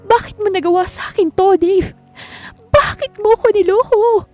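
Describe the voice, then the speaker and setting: A woman's voice: extremely high pitch, normal speed, darkish tone, faint music behind, pitched above the performer's own voice. A woman voicing a young fiancée who accuses her partner through tears. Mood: hurt, angry. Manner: accusing, tearful.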